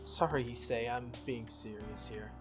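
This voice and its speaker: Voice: mid-range voice, steady tone, a little slow, soft background music, pitched below the performer's own voice, lightly acted. A game player voicing an easygoing teenage schoolboy who asks questions in a casual, hesitant way. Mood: curious, puzzled.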